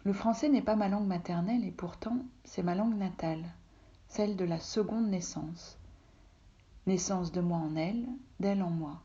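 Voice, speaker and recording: A woman from Russia whose first language is Russian, calmly reading a literary text aloud from her home office, often soft and breathy. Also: on the low side, moderate pace, highs cut off. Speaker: reader, translator.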